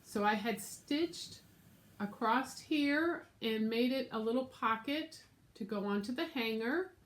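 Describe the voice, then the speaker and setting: A woman's voice: fairly high pitch, expressive, slow. An American woman with a nasal, stuffed-up voice from being sick, chatting casually to camera as she shows her craft projects. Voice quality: nasal, congested. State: sick with congestion. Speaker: craft vlogger and show host. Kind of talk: casual show-and-tell chat to camera. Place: room at home.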